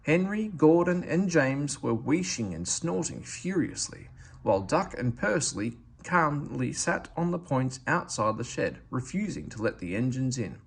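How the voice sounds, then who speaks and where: A man reading a children's story aloud as narrator, with expressive delivery. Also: mid-range voice, a little slow, vocal fry, a little hiss or hum. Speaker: storybook reader.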